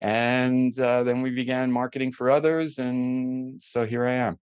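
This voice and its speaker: A man's voice: medium pitch, little pitch movement, unhurried, darkish tone, clear, resonant tone, no echo. A man in his seventies with a New York accent, chatting casually and rambling a little as a podcast guest. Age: 70s. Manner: chatty, rambling, self-deprecating humor. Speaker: guest orthodontist.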